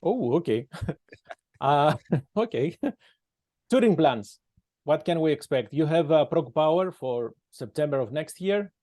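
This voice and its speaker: A man with a Greek accent, whose first language is Greek, interviewing a musician over a video call in a friendly, halting way, laughing often. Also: fairly high pitch, animated, dark tone. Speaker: interviewer, podcast host.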